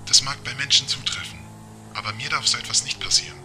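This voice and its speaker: A man's voice: mid-range voice, fast, noisy background, natural voice. A man playing a polite, literal-minded talking car computer in an amateur radio play, formal and precise. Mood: earnest, apologetic.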